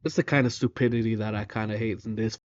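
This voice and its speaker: A man's voice: lowish voice, fairly even intonation, quick pace, darkish tone, no echo. A man giving casual, slangy reaction commentary, incredulous and prone to swearing. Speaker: reaction video host. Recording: dry, slightly dull microphone sound.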